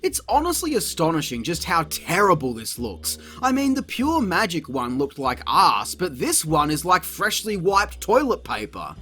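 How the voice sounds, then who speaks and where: Sarcastic, animated man reviewing cartoons. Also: a little high, normal speed, hardly any pauses, faint music behind. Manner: sarcastic, profane, exasperated. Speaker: video reviewer. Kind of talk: comedic review narration.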